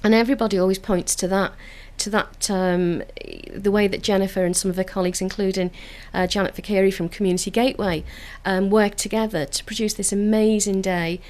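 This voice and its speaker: A woman's voice: medium pitch, fairly even intonation, brisk, hardly any pauses, darkish tone. Liverpudlian woman, earnest and upbeat. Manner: earnest, enthusiastic, with frequent filler restarts. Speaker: council community engagement officer. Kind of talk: radio interview. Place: radio studio.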